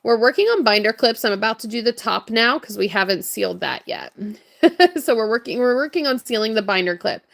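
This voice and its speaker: High-voiced hoarse woman chatting on a livestream. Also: expressive, brisk. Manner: chatty, upbeat, laughs often. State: hoarse, voice strained from hours of talking. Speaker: livestream crafter and shop owner. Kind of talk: casual livestream chat greeting viewers.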